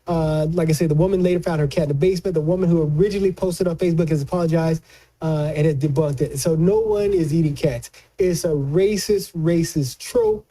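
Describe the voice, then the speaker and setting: A man's voice: a little high, brisk, mellow. A man giving casual political commentary, speaking emphatically and repeating phrases for stress.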